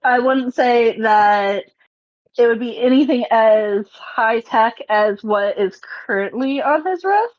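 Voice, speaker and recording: A woman with a fairly high voice talks casually through a roleplaying game. The recording is dull and very dry, with little room sound. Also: slow, dry recording. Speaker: player in a tabletop roleplaying game. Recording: dull, dry, rough online-session audio.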